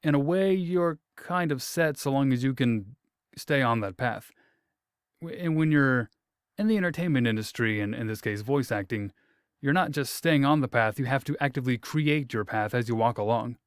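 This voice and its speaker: A man's voice: medium pitch, expressive, normal speed, clear, resonant tone, dry recording, studio quality. A man hosting a casual interview, thoughtful and wordy, often repeating himself and making light jokes at his own expense. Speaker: interviewer, host.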